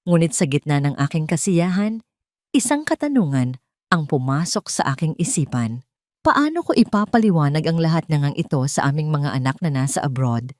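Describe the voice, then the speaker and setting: A woman narrating a first-person dramatic story from a script, with measured phrasing. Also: low-pitched, a little mellow, no echo, clean studio sound.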